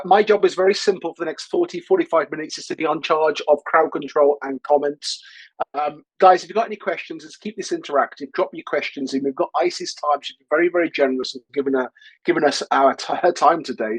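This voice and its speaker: A man's voice: fairly high pitch, breathy edge, no echo, rough recording. A man hosting a live webinar as an online business coach, upbeat and chatty, from a cold home office over a video call.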